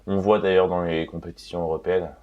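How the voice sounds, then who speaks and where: A man's voice: sits low, flat delivery, fast talker. A young man co-hosts a casual football chat. He speaks hesitantly, searching for words, and the recording is a bit dull and lo-fi. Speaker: football show co-host and Paris supporter. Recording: dull lo-fi sound.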